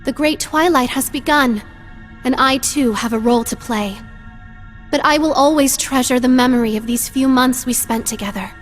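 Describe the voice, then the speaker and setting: A woman playing a poised, refined young lady in a fantasy video game, formal, composed and gently theatrical. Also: high voice, moderate pace, faint music behind, close to an everyday voice. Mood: composed, wistful. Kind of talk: dramatic video game acting.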